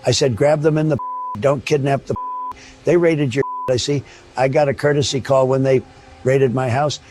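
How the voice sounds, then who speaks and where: A man's voice: mid-range voice, steady tone, moderate pace, over music. A man scolding in an emphatic, profane way.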